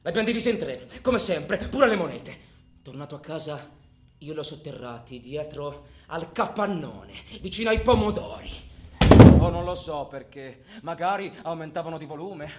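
An actor playing a volatile, haunted robber who confesses in a colloquial, profane radio theatre monologue, swinging from hushed to shouting. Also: male voice, fairly high pitch, lively intonation, normal speed, lightly acted. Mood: brooding, boastful. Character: volatile, haunted male robber recalling his crimes. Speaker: solo actor in a radio play. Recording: echoey room with noisy hum.

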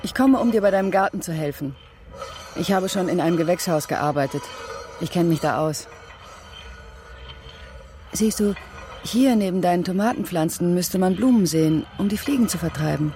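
An actress playing a gentle, reflective woman newly in love in a radio drama. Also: fairly low pitch, animated, moderate pace, pauses often, music in the background. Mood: friendly, offering. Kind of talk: literary radio drama monologue.

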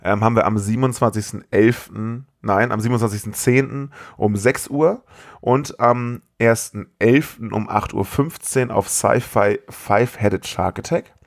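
A man's voice: on the low side, normal speed, creaky. Slangy, enthusiastic man. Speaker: podcast co-host. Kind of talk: casual chat.

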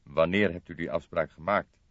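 A man voicing a stern, dogged police detective who questions formally and gruffly in an audiobook. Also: deep voice, normal speed, fairly bright tone, dry recording. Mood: probing. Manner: gruff, drawling, emphatic, formal. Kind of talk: audiobook character voice. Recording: dull lo-fi audiobook recording.

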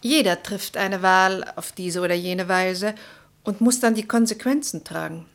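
A woman's voice: mid-range voice, measured pace, natural voice. An actress playing a wise, decisive society lady in a radio play, with a composed, formal and declarative delivery. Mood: resolute. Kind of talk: German radio play acting.

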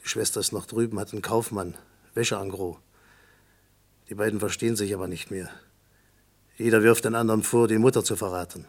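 An actor playing a gruff, sober-minded husband in a German radio play, gravelly, husky and matter-of-fact. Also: male voice, on the low side, steady tone, brisk, long pauses. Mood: sober, reflective.

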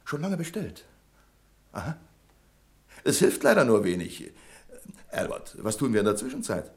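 An actor playing a pedantic, imperious bank manager in a German radio play, curt and condescending in manner. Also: male voice, mid-range voice, big pitch swings, moderate pace. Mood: peremptory. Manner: curt, condescending, fault-finding.

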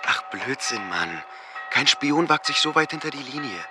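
A man's voice: medium pitch, lively intonation, normal speed, lightly acted. Blunt soldier, played by a man over music. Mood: incredulous. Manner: colloquial, blunt. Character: plain-spoken, dismissive soldier. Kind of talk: German radio play acting.

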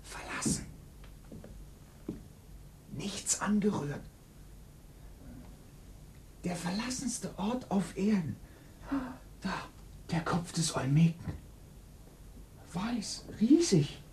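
An actor playing an earnest, bookish police assistant in a radio crime play, speaking in a precise, educated way. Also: male voice, high-pitched, expressive, drawn-out words, pauses often, warm tone, a little hiss or hum, heavily acted. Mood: frightened, hushed. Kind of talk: German radio play acting.

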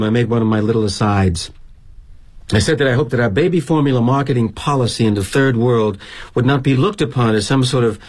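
An actor playing a weary, sardonic middle-aged corporate executive, speaking in a wry, confiding monologue. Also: male voice, mid-range voice, darkish tone, lightly acted. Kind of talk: theatrical monologue.

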